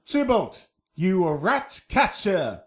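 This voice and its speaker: A man's voice: high-pitched, sing-song, drawn-out words, full character voice. An actor playing a hot-headed, mocking young swordsman in a Shakespearean radio drama, with theatrical, taunting delivery. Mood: mocking, defiant.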